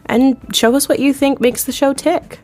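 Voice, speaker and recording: An actress playing a friendly executive producer who is a guest on a talk show, chatting with a conspiratorial giggle. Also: female voice, sits high, fairly even intonation, moderate pace, a little mellow, faint music behind, natural voice.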